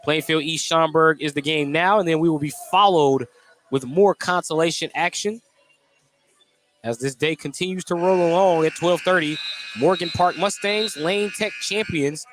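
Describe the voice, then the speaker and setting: A man with an American accent doing live radio play-by-play commentary of a basketball game in clipped phrases. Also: on the high side. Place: indoor basketball gym.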